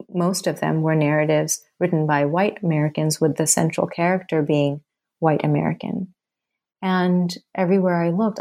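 A woman's voice: sits low, mellow. A woman from Bangladesh, an author answering interview questions with earnest, rhythmic, building phrases. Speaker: author guest.

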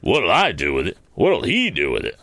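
A man doing a gruff, growling journeyman. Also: deep voice, wildly swooping pitch, normal speed, a bit bright, dry recording, full character voice. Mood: surly. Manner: growling, sullen. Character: gruff, surly young blacksmith's journeyman.

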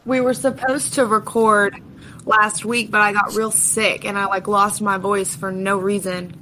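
Bright-voiced woman, slightly scratchy. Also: medium pitch, flat delivery, unhurried. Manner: easygoing, gossipy, says dude. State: slightly scratchy voice after illness. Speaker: podcast co-host. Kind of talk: casual podcast chat.

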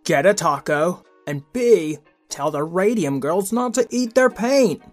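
A woman's voice: on the low side, animated, measured pace, faint music behind. A woman voicing a goofy, food-obsessed young boy sidekick with a flippant comic manner in a children's audio drama.

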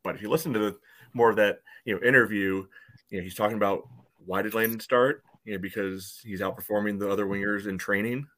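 A man on a sports podcast talking casually and haltingly, often dropping in "you know". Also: fairly low pitch, steady tone, a bit bright. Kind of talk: casual soccer discussion.

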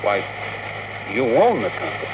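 Washed-up space pilot, gruff n wary, old radio show, lots of noise. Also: male voice, on the low side, wildly swooping pitch, moderate pace. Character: hard-drinking, down-on-his-luck rocket captain, wary and gruff. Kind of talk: old-time radio drama acting. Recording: noisy old radio recording.

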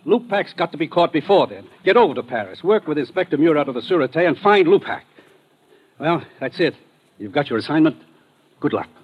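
An actor playing a serious, authoritative commissioner briefing an agent in an old radio drama. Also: male voice, a little high, big pitch swings, fairly quick, breathy edge. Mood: grave, urgent. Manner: businesslike, authoritative. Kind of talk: 1950s radio drama acting. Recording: dull old radio recording.

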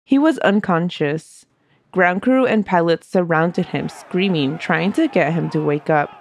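Low-voiced woman with a stuffy nose. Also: unhurried. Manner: steady storytelling narration. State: stuffy from a cold. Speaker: podcast host. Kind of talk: reading a scripted history story.

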